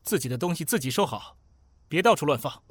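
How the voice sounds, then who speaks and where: A man acting an aloof, fastidious young housemate in an audio drama, speaking in a curt, commanding way. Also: a little high, expressive, a bit bright, slightly rough, no echo. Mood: bossy, cool.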